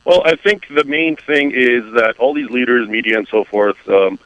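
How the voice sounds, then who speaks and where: A man from the United States, an author speaking as a radio guest, explaining his view in a measured, emphatic way. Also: medium pitch, a bit bright, dry recording, low-quality recording. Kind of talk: radio interview.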